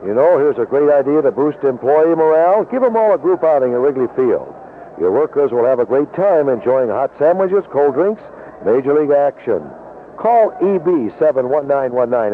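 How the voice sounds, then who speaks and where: A man calling baseball play-by-play for radio in clipped, descriptive phrases with pauses between plays. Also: fairly high pitch. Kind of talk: live sports commentary. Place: outdoor ballpark broadcast booth. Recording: noisy narrow-band 1970s AM radio broadcast.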